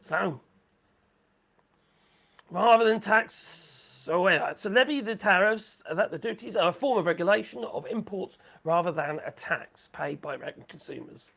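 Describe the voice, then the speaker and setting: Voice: mid-range voice, animated, normal speed, creaky, fairly bright tone. A livestream host rambling through sarcastic commentary, saying the voice is going. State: losing voice.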